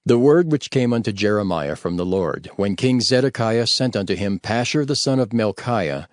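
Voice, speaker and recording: A man narrating scripture from a script in a formal, measured storytelling manner. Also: lowish voice, lively intonation, no echo, studio quality.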